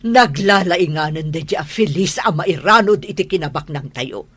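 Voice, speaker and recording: A woman with an Ilocano accent playing a stern, proud middle-aged mother in a radio drama, scolding her son in a breathy voice. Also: deep voice, moderate pace, no echo, full character voice. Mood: angry. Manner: scolding, lively and sharp.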